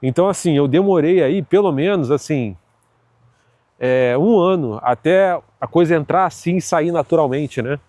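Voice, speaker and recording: A man's voice: fairly high pitch, normal speed, no echo. A man with a Carioca accent from Rio de Janeiro, talking casually and chatting to his viewers with lots of fillers. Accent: Carioca Brazilian Portuguese. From Rio de Janeiro, Brazil. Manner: relaxed, chatty, many fillers and tag questions. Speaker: vlogger. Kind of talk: casual vlog monologue.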